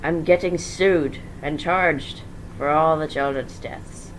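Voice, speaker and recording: Casting: weary male boss voiced by a narrator, distraught, halting, emotional, middle pitch with slight background noise. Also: lightly acted, poor audio.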